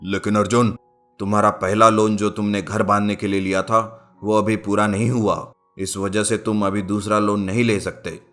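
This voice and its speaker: A man's voice: fairly low pitch, level tone, normal speed, fairly bright tone, faint music behind, close to an everyday voice. A man voicing a firm, matter-of-fact senior army officer in a storybook tale.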